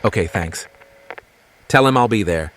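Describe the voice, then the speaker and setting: Low-voiced weary scientist played by a man. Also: lively intonation, measured pace, noisy background. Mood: subdued, worried. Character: weary, guilt-ridden male research scientist after zombie apocalypse. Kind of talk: dramatic audio comic acting.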